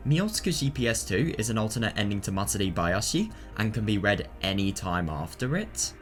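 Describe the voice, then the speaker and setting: A man's voice: fairly low pitch, very animated, a little fast. A man presenting a video guide in a scripted, methodical, instructional way. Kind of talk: scripted explanatory narration. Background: background music.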